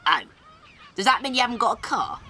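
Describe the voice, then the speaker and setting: A greedy, boastful young boy in an audiobook drama, with a bright, forceful voice. His delivery is lively and swoops about, full of smug cruelty. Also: medium pitch, brisk, slight background noise.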